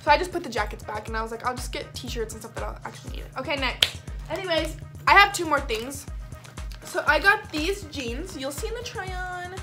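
A young American woman chatting in casual, slangy speech to the camera in a bedroom, as in a clothing haul vlog. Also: high-pitched. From lives in Las Vegas, United States. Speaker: video blogger. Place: small bedroom, indoors. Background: background music.

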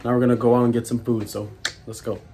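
A man's voice: mid-range voice, little pitch movement, fast. A man in his twenties chatting casually and slangily to camera as a vlogger, in a laid-back manner. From South Sudan or Canada. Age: 20s. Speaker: vlogger, college soccer player. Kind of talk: casual vlog chat to camera. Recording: noisy outdoor and room vlog audio.

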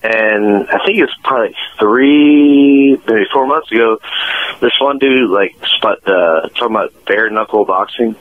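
A man with a lowish voice calls in to a radio show, his words coming through a tinny phone line. He hesitates and pads his sentences with fillers. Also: unhurried, dry recording. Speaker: caller. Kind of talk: casual phone call.

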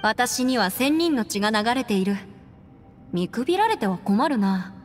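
A woman acting in a Japanese game as a composed, proud young noblewoman adventurer, speaking in a measured, firm and formal way. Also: on the high side, expressive, lightly acted. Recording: long reverb, faint music behind.

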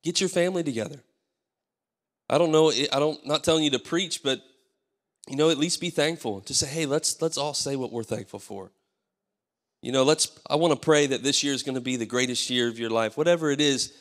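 A man in his thirties, a pastor whose voice is worn and hoarse, preaching a sermon into a handheld mic and calling for amens. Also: medium pitch, fairly bright tone. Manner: preaching with call-and-response, asking the crowd for amens. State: losing his voice. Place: large church stage. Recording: live church hall reverb.

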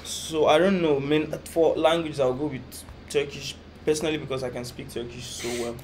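A young man co-hosting a casual vlog chat, often pausing to search for words, seated on a couch indoors. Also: fairly high pitch, steady tone, a little hiss or hum. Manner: hesitant, keeps saying "what's it called". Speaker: vlog co-host. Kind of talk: casual chat comparing countries.

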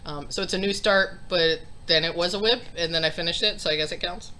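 A woman's voice: fairly low pitch, a little fast, pushed and bright, slight background noise. A woman in her thirties chatting casually to a webcam at home about her stitching, rambling with lots of ums, sounding congested. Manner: rambling, chatty, frequent ums and self-deprecating laughs. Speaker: cross stitch vlogger. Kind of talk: casual chat to camera. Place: home craft room, indoors.

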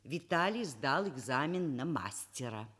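Expressive middle-aged woman professor. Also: a bass voice, unhurried, heavily acted. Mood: wry, reflective. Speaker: radio drama actor, narrator. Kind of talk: Soviet radio play narration and dialogue. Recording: radio play, violin music behind.

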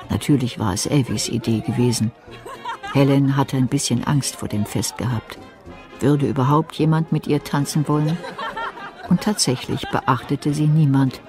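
A woman narrator reads a scripted radio play. Her voice is low and mellow, with some music underneath.

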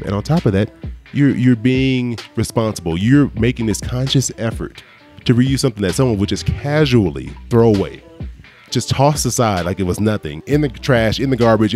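Low-voiced man hosting a podcast. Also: a little mellow. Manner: joking, laughs often. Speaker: podcast host. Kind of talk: casual podcast chat and playful debate.

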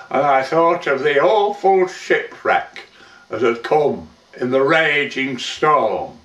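A man's voice: on the high side, a bit bright. A man playing a weathered old lifeboatman, reciting a sorrowful dramatic monologue in folksy, plain-spoken verse. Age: older. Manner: measured, folksy storytelling with rustic dialect. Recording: roomy, dull, distant microphone.

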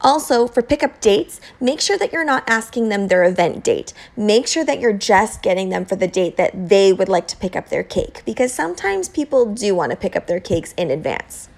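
A woman narrating scripted instructional tips as a home baker, explaining informally with clear emphasis on key points. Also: mid-range voice, expressive, moderate pace, few pauses.

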